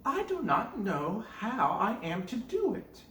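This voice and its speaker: A woman's voice: medium pitch, huge pitch swings, unhurried, a bit bright, a little hiss or hum, pitched above the performer's own voice. A woman voicing a frightened but clever little girl in a storybook reading, with a plaintive, simple delivery. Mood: hesitant. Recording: roomy sound, distant from mic.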